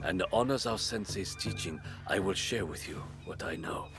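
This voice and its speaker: An actor playing a proud, remorseful samurai duelist in a video game, speaking formally and with measured weight. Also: male voice, sits low, creaky, music in the background. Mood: solemn, reflective. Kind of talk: dramatic video game acting.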